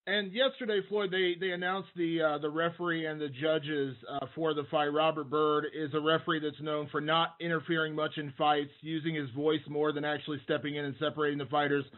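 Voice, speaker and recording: A man's voice: fairly high pitch, little pitch movement, a little fast, few pauses. A man, a sports reporter, putting questions to an interviewee over a conference call. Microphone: phone. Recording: narrow phone line.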